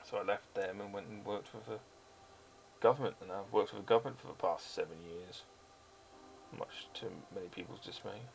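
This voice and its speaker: A man's voice: on the low side, steady tone, a little fast, creaky, a little hiss or hum. A man in his thirties with a mixed British Forces English accent, chatting casually and swearing freely on a live stream. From England. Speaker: streamer building model kits.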